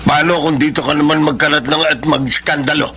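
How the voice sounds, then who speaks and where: An actor playing a blunt, suspicious Filipino man in a radio drama, gravelly and sardonic. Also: a little high, fairly even intonation, a little fast, background noise, natural voice. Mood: wary, sardonic. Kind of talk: Tagalog radio drama acting.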